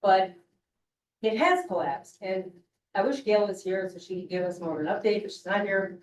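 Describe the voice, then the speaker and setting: A woman with a loud voice giving a confrontational, rambling public comment at a community meeting. She clears her throat often. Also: mid-range voice, lively intonation, normal speed, a crowd in the background. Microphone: room mic from across the room. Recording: echoey room, far from mic.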